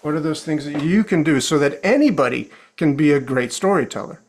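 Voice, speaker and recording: A man's voice: on the high side, animated, a little fast. A man who coaches storytelling, a podcast guest explaining his approach in a reflective way with rhetorical questions. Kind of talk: casual interview chat.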